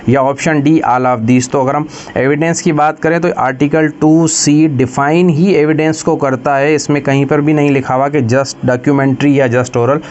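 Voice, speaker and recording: A man with a Pakistani accent giving an explanatory exam-prep lecture, slowing down to read questions deliberately and then explaining them. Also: on the high side, a little mellow, a little hiss or hum. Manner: switches between Urdu explanation and slow, deliberate English reading. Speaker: lecturer for law exam preparation.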